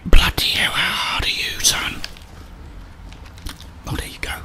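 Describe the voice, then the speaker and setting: A middle-aged British man giving whispered ASMR commentary on a video game, muttering his thoughts aloud. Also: a little slow. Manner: muttering to himself, thinking aloud. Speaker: gaming streamer.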